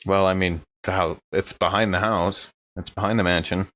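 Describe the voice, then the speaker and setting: Low-voiced man, slightly muffled recording. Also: fairly even intonation, normal speed, fairly bright tone. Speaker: player in an actual-play game. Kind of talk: casual in-character discussion. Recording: slightly dull, muffled sound.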